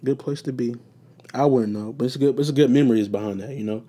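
A young man hosting a solo casual talk show, rambling with loose, profane chatter and many fillers, his voice getting raspy and sleepy. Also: mid-range voice, fast talker, mellow. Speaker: podcast host.

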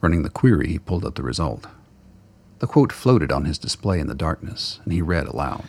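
A man narrating a radio play in a scripted, dramatic storytelling style with a gravelly, husky voice. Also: a bass voice, very animated, brisk, a little mellow.

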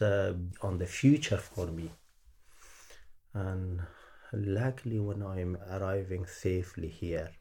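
A middle-aged man with an Afghan accent, a native Pashto speaker, answering interview questions in halting English with many pauses and fillers. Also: low voice, darkish tone. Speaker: interviewee, former journalist. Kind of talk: oral history interview.

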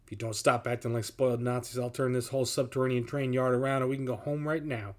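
A man's voice: fairly high pitch, expressive, slow, pitched above the performer's own voice. A man voicing a snappy, sarcastic railway chief in a comic audio story.

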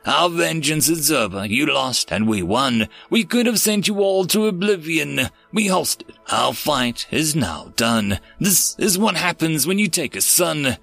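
Forceful, vengeful father, acted by a male narrator. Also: a little high, animated, a little slow, gravelly, hardly any pauses, soft background music, full character voice. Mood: triumphant. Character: vengeful, wounded human father, grimly commanding.

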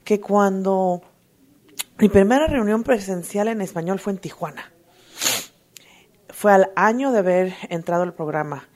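A woman giving an emotional, earnest personal testimony at a recovery meeting, halting often to restart her sentences. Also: medium pitch, darkish tone. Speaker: twelve-step fellowship member sharing.

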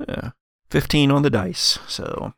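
A man's voice: a little high, slow pace, a bit bright, no room sound, studio quality. A man working as game master, narrating an actual-play tabletop game in a conversational, improvised way.

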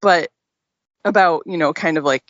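Woman interviewer, lower voice, casual and hedging; dull dry recording. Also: normal speed, fairly bright tone, dry recording. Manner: easygoing, hedging questions with many fillers. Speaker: podcast host. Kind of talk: interview questions.

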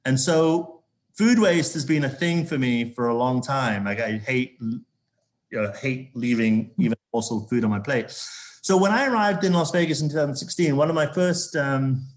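A man from Zambia, a corporate sustainability executive, talking as an interview guest with a halting delivery full of restarts. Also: mid-range voice. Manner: halting, many restarts and fillers.

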